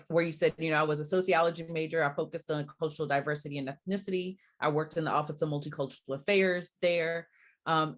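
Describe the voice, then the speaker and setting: Low-voiced woman from Barbados. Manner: thoughtful, measured, with frequent "um"s. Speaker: guest, diversity coach. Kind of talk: conversational interview answers.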